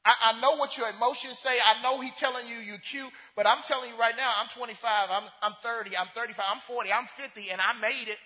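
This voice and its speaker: A man preaching a sermon in a church, impassioned, stumbling into restarts and repeated phrases, moving between loud and hushed. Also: very high voice, fairly even intonation, fast talker, few pauses, long reverb tail. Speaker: pastor. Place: church sanctuary.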